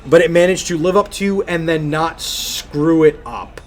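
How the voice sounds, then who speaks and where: A man's voice: fairly high pitch, measured pace. A man co-hosting a video game podcast in casual chat, speaking emphatically and effusively and repeating phrases for stress. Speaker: podcast co-host.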